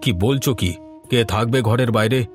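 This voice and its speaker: A man voicing an excitable, easily frightened village father in a horror cartoon, urgent and exclamatory. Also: medium pitch, normal speed, faint music behind, lightly acted. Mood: alarmed, questioning. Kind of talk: dubbed horror cartoon dialogue.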